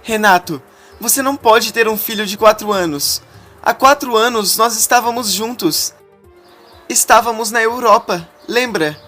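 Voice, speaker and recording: A man's voice: sits high, moderate pace, sharp tone, faint music behind, voice pushed up. A man voicing a betrayed young woman who is shocked and furious, shrilly screaming her lines in a retold soap-opera story.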